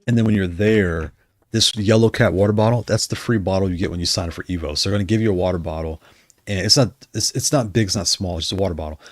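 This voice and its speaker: Deep-voiced man rambling on stream. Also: quick pace, dry recording. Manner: rambling, self-correcting, conversational. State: losing his voice. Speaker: streamer discussing controllers. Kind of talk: casual livestream chat.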